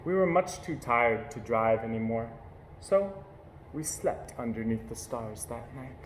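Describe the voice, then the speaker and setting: A man reading a children's picture book aloud with gentle, measured storytelling. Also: mid-range voice, expressive. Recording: echoey room with faint hiss.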